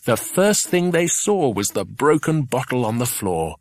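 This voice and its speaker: A man narrating a children's adventure audiobook in a scripted storytelling style, with a husky voice. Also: medium pitch, sing-song, unhurried.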